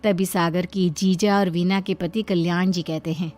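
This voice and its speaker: A woman's voice: fairly low pitch, fairly even intonation, moderate pace, darkish tone, soft background music. A woman reading a scripted moral story as its narrator.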